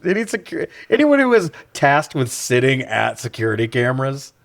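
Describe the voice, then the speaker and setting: A man's voice: medium pitch, sing-song, normal speed, gravelly, fairly bright tone, dry recording. A man co-hosting a podcast, casually recapping and riffing with incredulous, sweary humor. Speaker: podcast co-host. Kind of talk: casual comedic film recap and chat.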